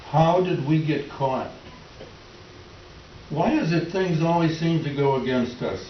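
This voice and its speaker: A man's voice: on the high side, slow pace. A man playing a worn-out, despairing Depression-era tenant farmer in a plaintive staged reading with rural folk phrasing. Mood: weary, despairing. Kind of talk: readers theater dramatic monologue. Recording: distant mic, echoey room, faint noise, dull.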